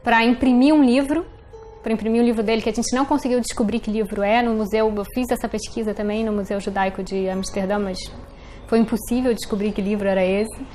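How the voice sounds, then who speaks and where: A woman's voice: fairly high pitch, fairly even intonation, fairly quick, darkish tone, a little hiss or hum. A woman with a Brazilian accent telling personal family history in a documentary interview. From born in Portugal to Brazilian parents.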